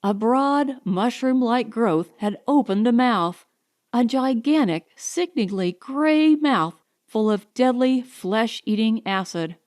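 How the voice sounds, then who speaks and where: A woman narrating a science fiction audiobook in a measured, deliberate, dramatic way. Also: a little high, animated, a little mellow.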